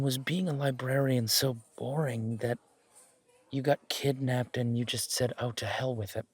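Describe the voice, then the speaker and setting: A man playing an earnest, protective, wry mafia boss on a first date in a romantic audio roleplay, speaking in a measured, intimate way. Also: mid-range voice, breathy edge, dry recording. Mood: amused, teasing.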